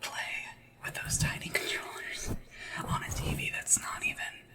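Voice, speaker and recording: A performer whispering in a breathy, soothing, chatty way, playing a gentle sleep assistant to royalty in an ASMR roleplay. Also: a little slow, distant mic. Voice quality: breathy, mostly unvoiced whisper. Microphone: close ASMR microphone, ear to ear.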